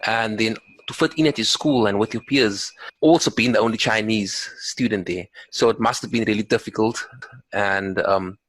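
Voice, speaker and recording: A man's voice: on the low side, normal speed, a bit bright, rough recording. A man hosting a podcast interview who affirms often with "mm-hmm" and "okay" and restates his guest's points back to her. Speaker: podcast host. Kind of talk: interview questions.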